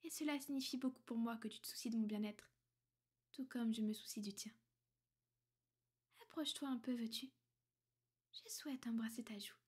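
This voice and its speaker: A woman voicing a powerful, protective, ancient vampire noblewoman in an ASMR roleplay, soft-spoken and breathy, formal and measured, slipping into whispers. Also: fairly high pitch. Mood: grateful, affectionate. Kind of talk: French ASMR girlfriend roleplay.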